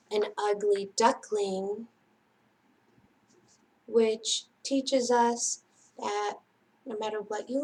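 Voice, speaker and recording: A woman with a high voice leads a children's story time. She takes her time, speaking very slowly in a sing-song manner. She addresses her young listeners directly, as a storyteller would. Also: rough recording. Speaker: children's library storyteller and host.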